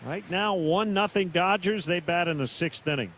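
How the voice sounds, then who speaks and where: A man doing live baseball play-by-play on the radio in measured, terse calls, with pauses between them. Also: high voice, a bit bright, slight background noise. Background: outdoor ballpark ambience.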